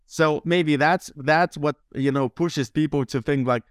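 Podcast guest: man, smooth dry voice, earnest explainer with wry wit. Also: fairly high pitch, a little slow, no room sound. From Lithuania. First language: Lithuanian. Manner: earnest, explanatory, dryly humorous. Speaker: guest, translator and philosopher. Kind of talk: casual podcast conversation.

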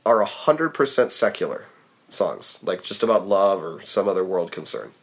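A man hosting a casual podcast quiz, making wry, dry jokes and trailing off quietly at the ends of thoughts. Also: sits low, very animated, moderate pace. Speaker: podcast host running a quiz game. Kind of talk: casual chat.

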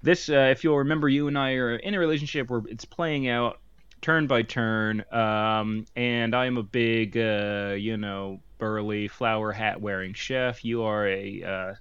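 A man's voice: fairly low pitch, steady tone, a little slow, fairly bright tone, clean tone, no echo. Jokey rambling man, dull dry sound. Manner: jokey, rambling, many fillers and restarts. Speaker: podcast co-host. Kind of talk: casual banter over a board game.

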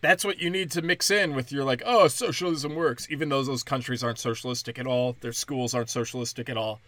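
A young man, a stand-up comedian, rambling crudely and mockingly through a solo podcast, breaking into high mimicking voices. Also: fairly quick, a bit bright, no room sound.

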